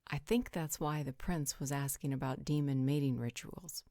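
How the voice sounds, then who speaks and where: A composed, gently teasing vampire woman acted by a woman in a roleplay drama. Her voice sits low, with a soft dark tone. It is recorded very dry. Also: moderate pace, no echo. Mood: calm, reassuring. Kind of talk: roleplay audio drama acting.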